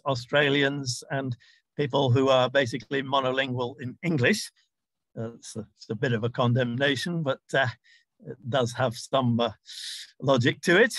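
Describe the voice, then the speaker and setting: Man, academic lecturer, slightly high bright voice, measured and hesitant delivery, informal asides, explanatory. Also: no echo, low-quality recording. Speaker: university lecturer.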